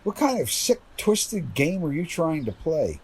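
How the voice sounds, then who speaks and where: Actor as an animated, earnest widower. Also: male voice, on the high side, a little slow, breathy edge, exaggerated voice, rough recording. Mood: angry. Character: earnest grieving widower, excitable and easily agitated man. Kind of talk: radio drama acting.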